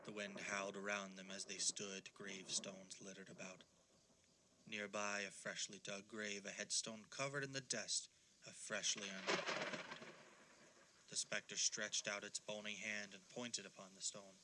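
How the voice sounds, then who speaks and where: A man narrating a ghostly Victorian audio play as a solemn, measured storyteller. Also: on the low side, level tone, heavy background noise, natural voice. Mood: ominous. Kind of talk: scripted dramatic narration.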